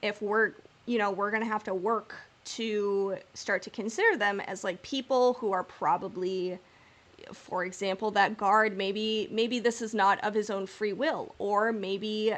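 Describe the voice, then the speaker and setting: A woman's voice: mid-range voice, steady tone, unhurried, a bit bright. A woman hosting a podcast, chatting casually and informally as she speculates in a fan discussion. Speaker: podcast host.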